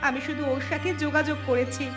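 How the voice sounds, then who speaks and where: A woman's voice: high voice, flat delivery, fast talker, natural voice. A woman voicing a defiant young student, a village daughter, in a cartoon story. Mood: pleading, earnest. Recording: clear music under the voice.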